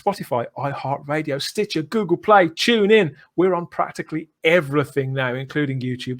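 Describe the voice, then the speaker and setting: A man hosting a podcast, chatting enthusiastically with effusive, theatrical turns of phrase. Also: a little high. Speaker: podcast host. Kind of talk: enthusiastic podcast chat and sign-off.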